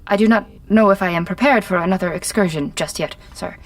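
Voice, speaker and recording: A woman's voice: lowish voice, fast talker, distant mic, close to an everyday voice. An actress playing a formal, dutiful agent in an audio drama, stiff and precise in her careful wording. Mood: formal, hesitant. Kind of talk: scripted audio drama acting.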